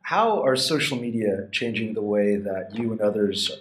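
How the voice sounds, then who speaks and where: A man's voice: lowish voice, lively intonation, moderate pace. A man in his twenties asking prepared interview questions over a video call. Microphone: video call, computer at desk distance. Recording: faint ambient room noise.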